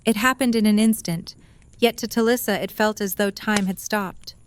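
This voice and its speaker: A woman's voice: mid-range voice, steady tone, normal speed, slight background noise. A woman reading scripted story narration as the narrator of an audio drama.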